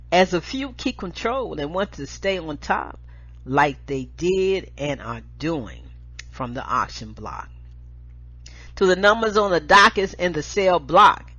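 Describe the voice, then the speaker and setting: A woman reciting spoken-word poetry as a show host, with emphatic, dramatic, deliberate phrasing. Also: deep voice, a bit bright, slightly rough, no room sound, dull audio.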